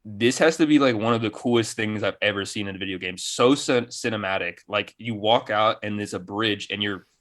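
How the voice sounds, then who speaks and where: A man's voice: on the low side, fairly quick, hardly any pauses, a bit bright. A man chatting casually as a gaming podcast co-host, enthusiastic, with plenty of slang and swearing.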